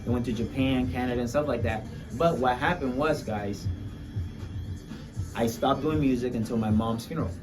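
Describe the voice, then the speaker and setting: A man's voice: mid-range voice, normal speed, distant mic, music in the background. A man from Puerto Rico telling his story as a musician in a casual, candid interview, using street slang and talking straight to the audience. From Puerto Rico, later urban Florida.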